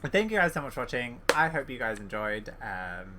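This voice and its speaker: A man's voice: medium pitch, sing-song, moderate pace. A man giving casual, enthusiastic let's-play commentary as a gaming YouTuber.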